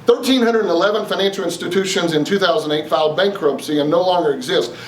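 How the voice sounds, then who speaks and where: A middle-aged man with a Texan drawl speaks to a seminar audience in a folksy way, asking the room question after question. His voice sits a bit high and is picked up from a distance in a big echoing room. A faint hiss runs under it. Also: fast talker. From East Texas. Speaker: investment speaker. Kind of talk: live seminar talk to an audience. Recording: roomy, distant, faint noise.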